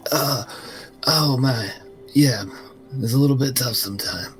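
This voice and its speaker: A man's voice: mid-range voice, measured pace, soft background music. A man taking part in a tabletop game, casually role-playing and describing his character. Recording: clear background room noise.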